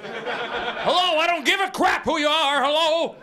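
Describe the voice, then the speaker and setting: A man's voice: extremely high pitch, lively intonation, unhurried, bright, forceful tone, pitched above the performer's own voice, exaggerated voice. A male comedian voicing a cranky, boastful eighty-year-old who rants indignantly about his younger days. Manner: indignant, emphatic ranting. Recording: live studio audience laughing.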